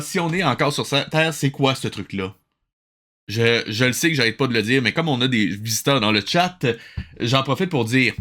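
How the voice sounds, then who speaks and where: Bright, forceful male livestream host. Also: medium pitch. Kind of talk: casual livestream reaction commentary.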